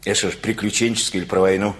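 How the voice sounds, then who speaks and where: A man's voice: lowish voice, flat delivery, rapid-fire, lightly acted. An actor playing a garrulous, teasing middle-aged working man in a Russian radio play, with a folksy, needling manner. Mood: jovial, grumbling. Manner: folksy, needling, chuckling, repeats words.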